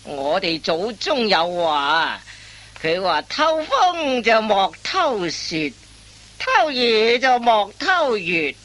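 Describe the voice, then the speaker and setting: A woman playing a wily veteran master thief in a comic radio play, instructing in drawn-out phrases full of interjections. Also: mid-range voice, sing-song, a bit bright, heavily acted. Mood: coaxing, lecturing.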